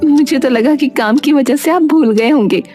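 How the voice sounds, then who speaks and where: A sweet, affectionate young village wife, voiced by a woman in a cartoon, high and light. Faint music plays under her. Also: moderate pace, mellow, natural voice. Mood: delighted. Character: cheerful young village wife who makes jalebis.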